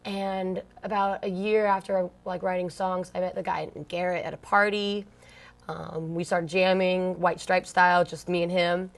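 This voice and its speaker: A woman's voice: on the low side, level tone, a little slow. A young woman from Long Beach, California, a punk band singer telling her story in an interview, chatty and blunt, full of "like" and "um". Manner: blunt, slangy, swears casually.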